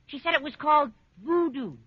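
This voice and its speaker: A man playing an eager teenage high school boy in a 1940s radio comedy, squeaky and excitable. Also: a little fast. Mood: eager, breezy. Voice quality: squeaky, piercing. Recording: dull 1940s radio recording.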